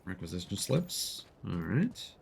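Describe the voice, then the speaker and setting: Male streamer, casual live gameplay talk, excitable, swinging pitch, faint game noise behind. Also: unhurried, vocal fry. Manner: excitable, exclaims when surprised. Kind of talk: live gaming commentary, casual chat with viewers.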